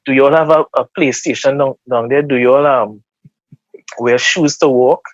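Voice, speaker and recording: Trinidadian man, mid pitch, earnest casual talk, dry muffled recording. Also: steady tone, moderate pace, no room sound. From Trinidad and Tobago. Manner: repeats words while reaching for thoughts, earnest. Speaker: guest. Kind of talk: casual motivational interview.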